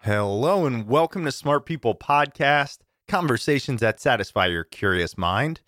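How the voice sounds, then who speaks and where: Upbeat male podcast host, smoky low voice. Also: expressive, normal speed, a bit bright, no echo, clean studio sound. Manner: upbeat, enthusiastic, chatty. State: voice lowered from campfire smoke. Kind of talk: casual podcast intro.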